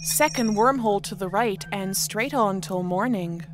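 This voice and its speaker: A woman voicing an obliging, self-aware spaceship, calm and matter-of-fact, in a sci-fi audio drama. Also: medium pitch, moderate pace, music in the background, natural voice. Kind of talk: sci-fi audio theatre acting.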